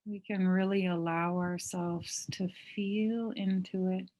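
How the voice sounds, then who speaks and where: Gentle lady doing a guided meditation, lowish voice, really slow w long pauses. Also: fairly even intonation. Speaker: mindfulness meditation teacher.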